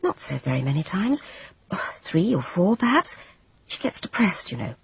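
A reader gives voice to a meek, eager-to-please young woman who stumbles over her words. Her lively, swooping delivery has a breathy, husky edge. The recording is dull and dry. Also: lowish voice, normal speed, dry recording, voice pushed up, full character voice. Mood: flustered. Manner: hesitant, stammering.

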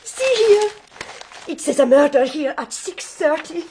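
Panicky foreign maid, very high-pitched, melodramatic, broken English, radio drama, noisy recording. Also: female voice, animated, a little slow, a little mellow. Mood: agitated fear.

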